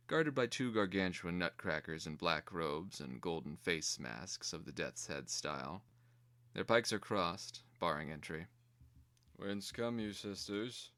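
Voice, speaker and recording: A man giving a measured, theatrical scripted narration of a screenplay. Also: low-pitched, fairly bright tone. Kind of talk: dramatic screenplay reading.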